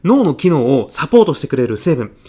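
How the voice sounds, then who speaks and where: A man's voice: fairly high pitch, sing-song, fairly quick, dark tone, slightly rough. A man playing a mild, self-effacing classmate in his thirties with a sly salesman's streak, speaking politely in a comedy radio drama. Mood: casually expert. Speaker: actor in an audio comedy sketch.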